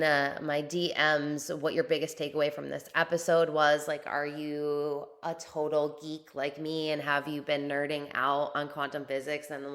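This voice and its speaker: A young woman hosting a podcast, talking casually and enthusiastically about her coaching program, her voice a little raspy from teaching, with lots of "like" and casual swearing. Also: low voice, a bit bright. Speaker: podcast host and spiritual coach. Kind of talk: casual monologue promoting a course.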